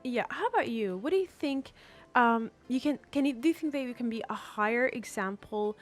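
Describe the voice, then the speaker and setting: A woman's voice: a little high, lively intonation, fairly quick, soft background music. A woman hosting a radio interview, encouraging her guests with frequent affirmations. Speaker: radio host.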